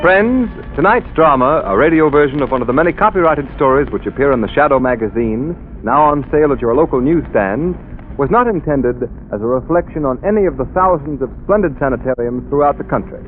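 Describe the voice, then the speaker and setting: A man reading a formal, measured announcement in the style of a 1930s radio broadcast. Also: mid-range voice, lively intonation, narrow, tinny audio, soft background music.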